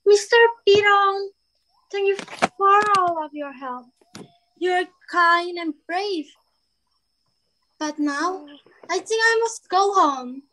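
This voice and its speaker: A young woman plays a little elephant child for readers theater, naive and full of curiosity. The voice is piercingly high and bright. Lines come slowly and haltingly, over a home video call. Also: exaggerated voice, low-quality recording. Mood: grateful, amazed. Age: young adult.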